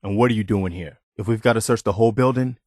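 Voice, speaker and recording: An actor playing an earnest, loyal militia leader in a video game, speaking in a sincere, measured, conversational way. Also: male voice, lowish voice, a little mellow, no echo, studio quality, lightly acted. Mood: serious, concerned. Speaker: video game companion character. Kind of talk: acted video game dialogue lines.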